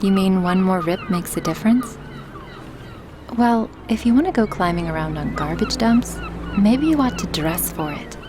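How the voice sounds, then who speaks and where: A woman's voice: mid-range voice, animated, normal speed, background noise, lightly acted. A woman playing a wry, helpful, unflappable young woman with a dry, teasing manner in a comic dub. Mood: wry, caring.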